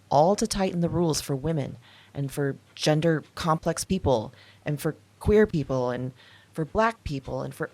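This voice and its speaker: Audio drama lead: woman playing an older female outcast, afraid yet defiant. Deep register, confessional delivery in broken, emphatic phrases. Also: darkish tone.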